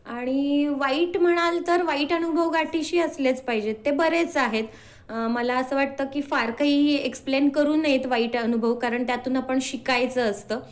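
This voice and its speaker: A woman answering as an interview guest, earnestly explaining and mixing in English words. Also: high voice.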